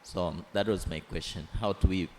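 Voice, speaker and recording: A man from Tanzania in the audience, asking the panel a question in a deliberate, searching way. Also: deep voice, fairly even intonation. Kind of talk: question from the floor. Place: large conference hall. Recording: long hall reverb, faint background noise.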